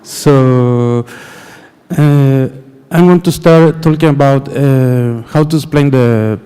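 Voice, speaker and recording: Slow, hesitant man in a hall. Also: mid-range voice, dark tone, clean tone. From Chile. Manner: hesitant, searching for words, many fillers. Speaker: lecturer. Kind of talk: lecture. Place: lecture hall. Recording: roomy lecture hall echo.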